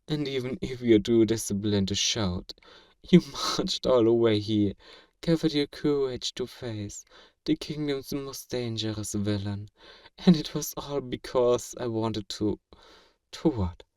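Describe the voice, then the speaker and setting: A man playing a feared fantasy villain in a flirtatious audio roleplay, measured, amused and condescendingly courteous, in an intimate ASMR acting style. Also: medium pitch, a little mellow, close to an everyday voice. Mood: amused, obsessive. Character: feared male fantasy villain, suave, teasing, flirtatiously obsessive. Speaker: voice actor performing a roleplay. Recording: roomy echo, distant from the mic.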